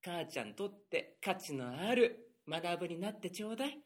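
A man's voice: sits high, sing-song, brisk. Gushing, doting mom, as a man puts her on. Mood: encouraging. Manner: soothing, gushing, pep-talking. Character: doting chatty middle-aged mother. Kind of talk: improvised radio drama.

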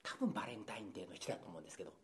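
A man's voice: low-pitched, very animated, rapid-fire. A man co-hosting a radio show, telling personal anecdotes in a casual, self-deprecating comic way.

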